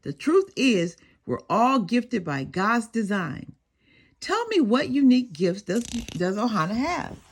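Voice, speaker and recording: A woman voicing a caring, encouraging father in a children's storybook, gently instructive and reassuring. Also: on the high side, sing-song, slow, slightly rough, full character voice. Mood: reassuring, wise. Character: caring, wise, encouraging father of a young boy.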